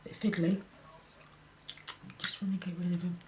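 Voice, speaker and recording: A woman with a croaky voice talking through a card-making tutorial, murmuring and often dropping to a whisper as she works. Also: on the low side, level tone, noisy background. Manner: slow, half-murmured commentary while working, often dropping to a whisper. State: croaky voice from illness. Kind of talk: hands-on craft tutorial.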